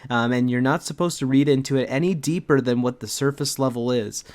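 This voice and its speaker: A co-host riffing casually on a dramatic reading in a sarcastic, mock-defensive way, with halting repetitions. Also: medium pitch, a little mellow.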